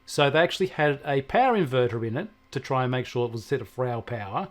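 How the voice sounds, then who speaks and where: A man's voice: mid-range voice, expressive, fast talker. A man explaining things conversationally as a technology co-host, telling anecdotes from his own work. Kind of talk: conversational tech discussion.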